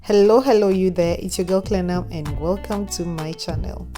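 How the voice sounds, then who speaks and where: A woman's voice: on the low side, normal speed, music in the background. A young woman with a Ghanaian accent, hosting a cooking tutorial in a friendly, instructive voice-over with chatty asides. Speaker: cooking channel host.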